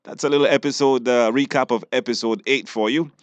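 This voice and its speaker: A man's voice: medium pitch, fairly even intonation, fairly quick, no room sound, dull audio. A man presenting on radio, narrating a recap of a serial episode.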